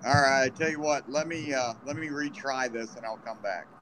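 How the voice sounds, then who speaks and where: A man's voice: medium pitch, moderate pace, a crowd in the background, low-quality recording. A man in a casual group chat, asking hesitant questions about a task. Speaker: chat participant.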